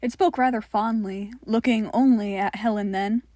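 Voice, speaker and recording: A woman's voice: medium pitch, fairly even intonation, normal speed, mellow, dry recording, studio quality. A woman narrating a scripted audio story. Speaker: podfic narrator.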